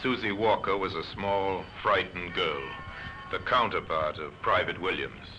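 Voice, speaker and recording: A man's voice: low voice, measured pace, music in the background. A man reading scripted narration for an old-time radio mystery drama. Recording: muffled old radio recording.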